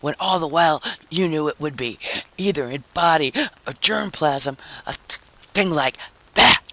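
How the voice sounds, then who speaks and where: A man voicing an enraged, jealous husband with a nasal, gasping voice, ranting in short phrases in a vintage radio science fiction drama. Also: moderate pace. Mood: hysterical. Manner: shrill, screechy, rising to yells. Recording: noisy vintage radio recording.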